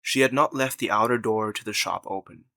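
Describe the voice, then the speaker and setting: Guy narrating a story, bright forceful voice, middle pitch, really dry recording. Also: fairly quick, dry recording.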